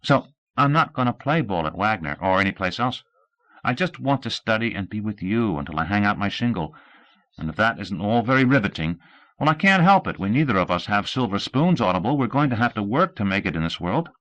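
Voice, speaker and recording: Brief: earnest teenage boy athlete, lively raised voice, read by a male narrator. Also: fairly low pitch, brisk, voice pushed up, rough recording.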